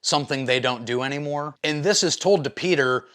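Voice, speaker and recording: Guy doing a casual movie review, slightly high voice, into it and slangy, lots of opinions. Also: a little fast, a bit bright. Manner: informal, enthusiastic, slangy. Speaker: movie reviewer, video host.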